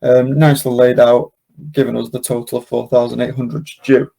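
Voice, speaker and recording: A man presenting an informal software walkthrough, explaining casually step by step. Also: medium pitch, level tone, normal speed, vocal fry. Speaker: software demonstrator, webinar presenter. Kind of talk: informal screen-share walkthrough.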